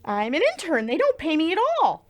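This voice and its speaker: A woman playing an eager, flattering young studio intern in an old-time radio play. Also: sits high, a little fast, no echo.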